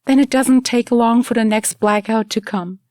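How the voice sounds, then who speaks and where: Audiobook drama: female reader as anguished young woman, scared for a loved one, pitched high, very dry recording. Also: fairly even intonation, normal speed, a little mellow, no room sound, natural voice. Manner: emotional, anguished first-person telling. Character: frightened, grieving young woman watching a loved one suffer. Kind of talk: dramatic audiobook character reading.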